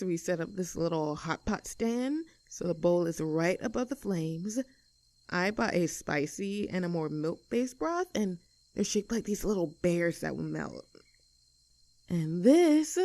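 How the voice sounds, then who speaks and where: A woman's voice: mid-range voice, a little mellow, no room sound, lightly acted. A woman voicing a cheerful, easygoing young tomboy friend in an intimate roleplay audio drama, talking in a casual, chatty and affectionate way.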